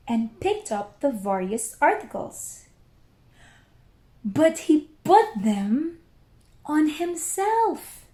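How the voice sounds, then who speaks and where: A woman reads a children's story aloud with careful pauses. Her voice is fairly high and very expressive, taken at an unhurried pace in an echoey room. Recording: roomy echo.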